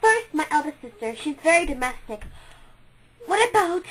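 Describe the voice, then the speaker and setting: A woman voicing Maya, an eager, delighted young star girl who talks in an excited, breathless way. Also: sits high, moderate pace, a little hiss or hum.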